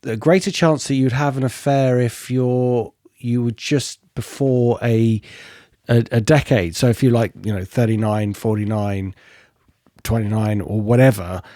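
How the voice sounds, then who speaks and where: A man's voice: mid-range voice, steady tone, a little slow, warm tone, no room sound, studio quality. A man co-hosting a podcast interview, musing and a little hesitant as he thinks aloud. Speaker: podcast co-host.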